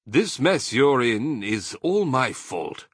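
A man's voice: mid-range voice, sing-song, takes it slowly, breathy edge, no room sound, studio quality, pitched above the performer's own voice, exaggerated voice. A man voicing a sly, courteous fox father in a children's storybook, suave and savouring his words. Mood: contrite, calm.

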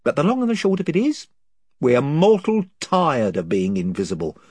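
A man's voice: a little high, very animated, normal speed, a little mellow, husky, no room sound, studio quality, voice pushed up. A man reading an audiobook, voicing a long-winded, folksy male chief of invisible creatures. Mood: rambling. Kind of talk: audiobook character voice.

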